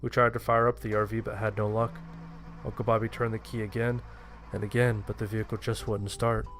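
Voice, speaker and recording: Low, very even male horror narrator. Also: a little fast, faint music behind. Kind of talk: first-person scripted horror narration.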